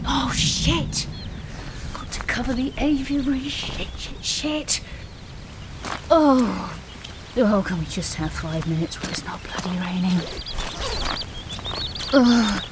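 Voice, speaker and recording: A woman's voice: fairly high pitch, slow pace, long pauses, very noisy. A woman playing a chatty, awkward boutique guesthouse host who rambles over-eagerly and mutters exasperated curses, in a naturalistic audio drama style. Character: flustered, chatty, awkward female boutique lighthouse-stay host.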